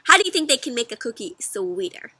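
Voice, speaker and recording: Bright, animated woman reading to children. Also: on the high side, fast talker, slightly rough. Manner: expressive, asks the listener questions. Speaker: storybook reader for children. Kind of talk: interactive children's read-aloud.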